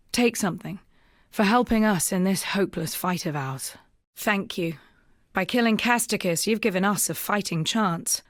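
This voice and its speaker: An actress voicing a weary, earnest young rebel woman, a wounded freed slave, in measured, solemn video game dialogue. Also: on the low side, dry recording, clean studio sound, lightly acted. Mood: grateful, grim.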